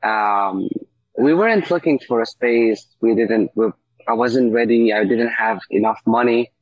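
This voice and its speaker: A man from Syria, a native Arabic speaker, telling his story casually as an interview guest, halting as he searches for words. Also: mid-range voice, no room sound. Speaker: coffee shop owner, interview guest. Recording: dull, muffled lo-fi audio.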